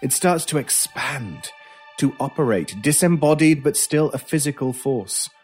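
Brooding, mysterious stranger in radio horror. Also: male voice, medium pitch, lively intonation, darkish tone, husky. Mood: ominous, intense. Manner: deliberate, theatrical, dramatic pauses. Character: intense mysterious male stranger, brooding paranormal expert. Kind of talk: old-time radio horror acting. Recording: music under the voice. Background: music.

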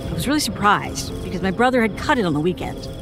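An actress playing a frightened woman haltingly recounting a childhood horror in an audio horror drama, sounding haunted. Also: medium pitch, animated, close to an everyday voice. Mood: uneasy, haunted. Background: music.

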